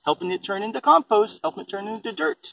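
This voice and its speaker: A man's voice: high-pitched, lively intonation, fast, creaky, sharp tone. A man reading a picture book aloud and chatting casually, explaining things simply for a small child. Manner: simple explanations and questions aimed at a young child. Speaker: storybook reader and parent explaining composting. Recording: distant microphone, faint background noise.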